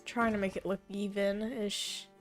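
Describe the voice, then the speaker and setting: A young woman streaming a zoo-building game, thinking aloud and correcting herself as she goes, her voice slightly hoarse and fading. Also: medium pitch, flat delivery, unhurried, faint music behind. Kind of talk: casual gameplay commentary.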